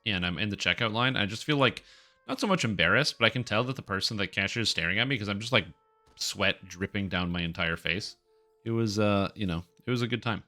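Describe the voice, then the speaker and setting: Congested, bright-voiced man streaming a game. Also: fairly low pitch, lively intonation, fairly quick. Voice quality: congested, nasal. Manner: rambling, talks to himself and viewers. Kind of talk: casual live-stream chat while gaming. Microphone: close microphone.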